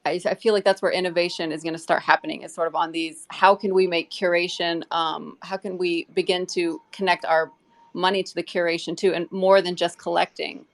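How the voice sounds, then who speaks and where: A woman's voice: on the low side, flat delivery, brisk. A woman talking in a casual, earnest and rambling way in a live audio discussion.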